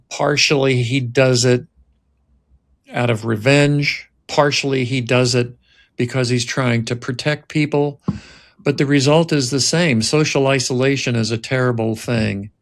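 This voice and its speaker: A man with a mid-pitched voice and a slow pace. He speaks deliberately as a host and director, leaving pauses between phrases. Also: fairly even intonation, creaky. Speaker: writer-director and podcast host. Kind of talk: scripted narration and reflective talk.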